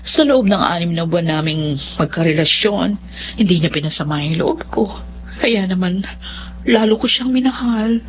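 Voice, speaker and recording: A woman's voice: fairly low pitch, animated, moderate pace, a little hiss or hum, close to an everyday voice. An actress playing a stubborn, lovestruck middle-aged widowed mother in an emotive, insistent Filipino radio drama style. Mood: defensive. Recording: dull lo-fi radio drama sound.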